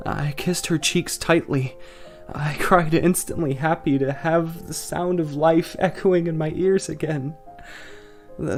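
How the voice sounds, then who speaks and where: A man acting an anguished family man in a fiction podcast, narrating in the first person with halting, emotional delivery. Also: on the high side, darkish tone, close to an everyday voice. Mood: relieved, tearful. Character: anguished family man trapped in frozen time. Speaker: narrator and lead actor in an audio drama.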